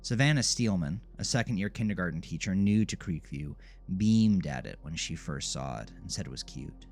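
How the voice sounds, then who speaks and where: A man narrating a scripted horror audio drama in a measured, literary storytelling manner. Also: low-pitched, lively intonation, darkish tone. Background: music under the voice.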